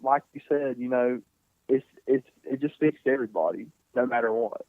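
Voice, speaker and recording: A man in his twenties, a singer being interviewed, talking casually and softly with many fillers and restarts. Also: medium pitch, moderate pace, no room sound. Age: 20s. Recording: tinny phone-like sound, dry.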